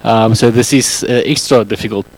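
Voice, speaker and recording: A man in his thirties speaking English with an Afrikaans accent, giving a public talk on a handheld mic in a halting, self-correcting way. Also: fairly low pitch, fairly even intonation, slight background noise. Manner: halting, self-correcting non-native English with frequent ums. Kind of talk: public talk to a live audience.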